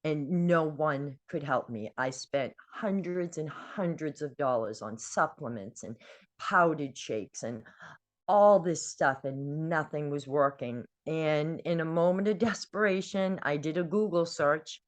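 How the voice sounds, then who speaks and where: An older woman from New England shares a personal story in a low, unhurried voice. She talks earnestly and with feeling, filling her pauses with ums. Her delivery is slow and heartfelt. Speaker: guest. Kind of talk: personal testimony.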